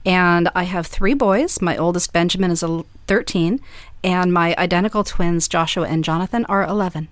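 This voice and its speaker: Female panelist, forty-nine, slightly low voice, very dry sound. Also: moderate pace, dry recording. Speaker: podcast panelist. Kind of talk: self-introduction.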